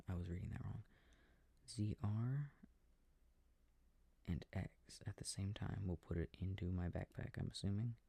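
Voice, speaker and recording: A man softly rambling to himself as he plays a video game for a sleep aid, often dropping into whispers, with a breathy, gravelly voice close to the mic. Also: on the low side, no room sound. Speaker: audio creator playing a video game. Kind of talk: soft rambling gameplay talk for a sleep aid. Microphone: close, dry microphone.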